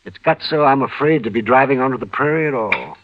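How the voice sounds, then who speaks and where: An American actor playing a fussy, weary older town doctor in a 1950s radio western, excitable and prone to repeating himself. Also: male voice, medium pitch, brisk, lightly acted. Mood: grave, urgent. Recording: dull vintage radio recording.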